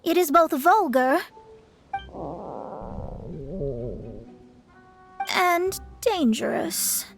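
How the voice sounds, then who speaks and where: A woman's voice: very high-pitched, lively intonation, takes it slowly, soft background music, exaggerated voice. A woman playing a proud young astrologist in an anime-style game, speaking in a lofty, formal way that easily turns flustered. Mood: haughty. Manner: lofty, formal, self-covering stammers.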